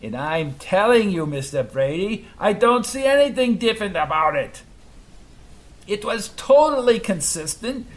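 A man voicing a loud, older male scientist who speaks with a thick foreign accent and a commanding, pompous manner. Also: high-pitched, very animated, measured pace, pitched above the performer's own voice. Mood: insistent.

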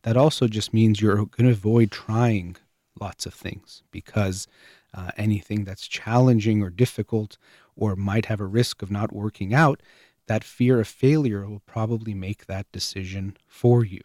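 A man hosting his own show, calmly explaining parenting ideas through everyday analogies. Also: fairly low pitch, moderate pace, no room sound, studio quality. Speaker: show host. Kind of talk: parenting advice monologue.

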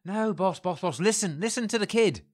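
Actor as a lively, higher-voiced loyal henchman. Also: moderate pace. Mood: placating. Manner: deferential, soothing, matter-of-fact. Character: loyal, placating male gangster henchman. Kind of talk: dark comedy crime drama acting.

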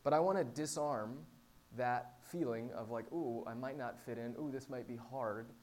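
A man's voice: medium pitch, normal speed, a little mellow. A man preaching in an informal, conversational style as a pastor, with a self-deprecating, humorous manner. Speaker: pastor preaching to a small church. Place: live talk to a small congregation. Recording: clear background noise with hum.

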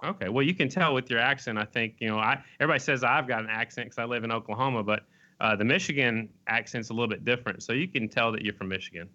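Bright-voiced male podcast host. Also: medium pitch, quick pace, muffled recording. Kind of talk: casual interview.

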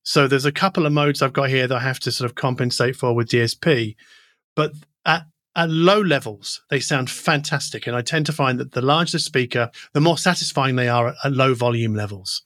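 A man's voice: medium pitch, brisk, a bit bright, no echo, studio quality. A middle-aged man hosting a conversational technical interview, reflective and inquisitive in the way he talks. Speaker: podcast host interviewing an audio engineer.

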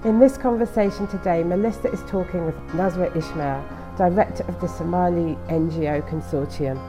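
A woman hosting a podcast, introducing the episode at a steady pace with a few ums. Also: fairly low pitch, fairly quick. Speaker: podcast host. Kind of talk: spoken introduction. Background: music.

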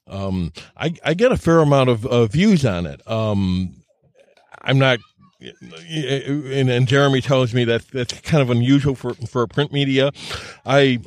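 A man's voice: medium pitch, no echo. A man with a mid-range voice tells stories in a casual, plain-spoken way. He stumbles and repeats words often, with a self-deprecating streak. The sound is dry and close. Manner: halting, repeats and stammers over words. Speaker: podcast storyteller. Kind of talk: casual anecdotal storytelling chat.

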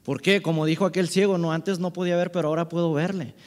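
Male preacher, higher-pitched, informal conversational sermon delivery, recorded with long hall reverb. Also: fairly even intonation, fast talker. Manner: conversational, frequent rhetorical tag questions.

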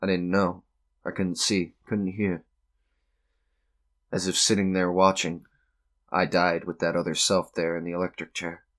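Casting: tormented, unhinged narrator of radio suspense drama; low voice, slow halting delivery, theatrical intensity, music underneath. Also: male voice, little pitch movement, fairly bright tone. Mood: haunted, bitter. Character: tormented, unhinged man recounting his own doom.

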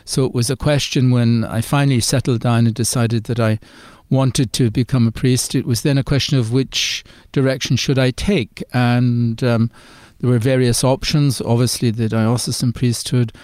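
A man's voice: medium pitch, fairly even intonation, normal speed, a little mellow, no echo. Older irish man, priest guest, hesitant reflective speech, dry close sound. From Ireland. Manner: hesitant, reflective, many fillers. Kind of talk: interview conversation.

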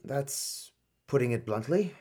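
A man acting in a radio play as a stern but protective older colonel and uncle figure, grave and deliberate. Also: medium pitch, expressive, dark tone, breathy edge. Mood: grave, warning.

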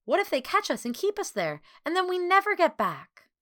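A woman voicing an excitable, anxious young girl who chatters in a rapid, emphatic gush. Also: very high voice, pitched above the performer's own voice, full character voice. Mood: alarmed.

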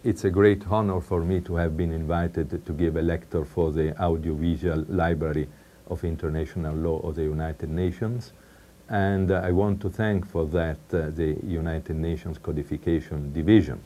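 A middle-aged man with an Italian accent, a law professor giving a recorded academic lecture in a measured way with frequent hesitations. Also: deep voice, fairly even intonation, dark tone. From Italy.

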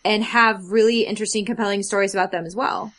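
A woman co-hosting a casual podcast chat, talking earnestly and haltingly, with "like" scattered all through. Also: mid-range voice, fairly even intonation, a bit bright. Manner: earnest, halting, filler-heavy with frequent "like". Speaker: podcast co-host. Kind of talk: casual chat about fandom and stories.

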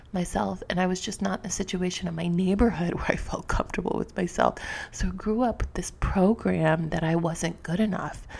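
A woman's voice: low voice, vocal fry, a little mellow. A woman podcast host with a slightly sniffly voice talks candidly and reflectively to her listeners, in an informal, confessional way, close to the microphone. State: sniffly from allergies.

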